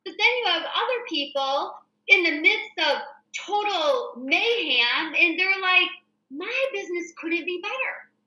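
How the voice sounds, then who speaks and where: A woman's voice: very high-pitched, expressive, unhurried, bright, forceful tone. An American woman hosting a casual show, reading through news items and commenting in an informal, explanatory way. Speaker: show host and real estate agent. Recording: echoey room.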